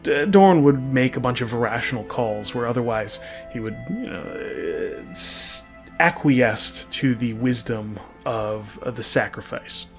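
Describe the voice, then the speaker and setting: A man's voice: mid-range voice, expressive, measured pace, vocal fry. A man hosting and narrating a fan lore video, mixing scripted storytelling with casual, emphatic, wry asides. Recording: music behind the voice. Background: background music.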